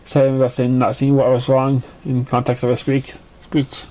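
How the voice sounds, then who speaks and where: Man reading aloud haltingly over noise. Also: mid-range voice, completely flat delivery, mellow, poor audio. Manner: halting delivery with stumbles over words. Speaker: amateur fan fiction reader. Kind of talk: reading a story aloud, acting out dialogue.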